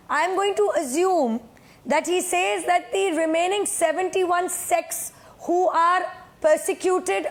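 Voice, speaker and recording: A woman with a very high voice argues on a television news panel. She presses her points insistently over interruptions, and her voice is getting hoarse. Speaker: political panelist. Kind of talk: heated TV news debate.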